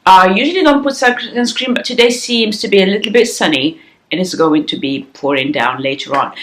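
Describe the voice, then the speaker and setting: A woman in her thirties, slightly raspy, chatting casually to camera at home with many ums and restarts. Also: medium pitch, expressive, fairly quick, roomy sound, a little hiss or hum. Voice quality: raspy in the morning. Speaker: vlogger. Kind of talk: casual vlog chat to camera. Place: sunlit living room at home, indoors. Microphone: compact camera on-board mic.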